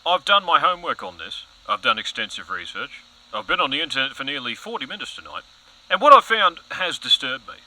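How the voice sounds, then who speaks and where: A pompous news anchor in a comedy audio short. His voice is mid-pitched, piercingly bright and highly animated. He leans on dramatic pauses like an overzealous crusader chasing a conspiracy. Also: rough recording. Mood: accusatory, dramatic. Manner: pompous, melodramatic, emphatic pauses. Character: overzealous, self-important male news anchor spiralling into conspiracy. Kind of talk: mock current-affairs broadcast, scripted audio comedy.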